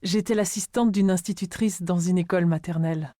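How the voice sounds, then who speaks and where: A woman's voice: lowish voice, steady tone, fairly quick, warm tone, no echo, studio quality, close to an everyday voice. A woman playing a confident young woman giving scripted answers in an interview. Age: young adult.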